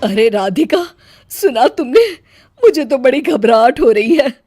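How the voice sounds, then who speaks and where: An anxious older mother-in-law from a cartoon, played by a woman. Her voice is fairly high and dry in sound, and she speaks fretfully but affectionately. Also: sing-song, measured pace, warm tone, dry recording, faint music behind, exaggerated voice.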